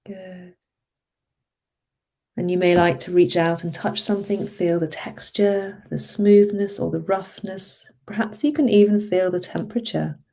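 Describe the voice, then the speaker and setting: A woman guides a hypnosis session as a therapist would, very slowly and soothingly. Her voice sits a little low and has a soft, dark, relaxed tone. She draws out her phrases and gently encourages the listener. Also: gravelly.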